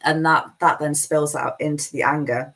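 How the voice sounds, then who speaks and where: A woman's voice: deep voice, fairly even intonation, moderate pace, a bit bright. A woman with a Manchester accent giving a meandering, self-deprecating spiritual talk as host of a live session. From Manchester, England.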